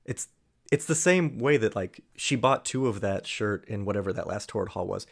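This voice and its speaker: A man comments on a video in a dry, mocking way. His voice sits on the low side, and he delivers his asides flatly and sarcastically. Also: moderate pace, vocal fry, husky. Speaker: reaction commentator.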